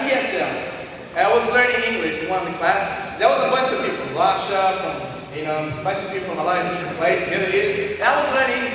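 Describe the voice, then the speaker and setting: A man from Brazil, a native Portuguese speaker with a Brazilian accent, tells his life story to an audience in animated, non-native English full of fillers. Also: sits high. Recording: echoey hall from far off, faint noise.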